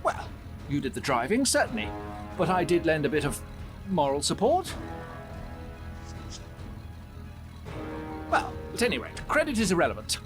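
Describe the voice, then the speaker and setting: A man's voice: fairly high pitch, wildly swooping pitch, a little fast, music in the background. An actor playing a fussy, polite young male rat with a posh British accent in a video game cutscene. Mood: self-satisfied. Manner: polite, prim, theatrical.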